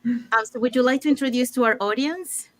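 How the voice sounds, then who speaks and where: A woman's voice: high voice, a little fast, dry recording. A woman from Panama hosting an online talk show, introducing guests in a loose, chatty way with fillers. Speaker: host.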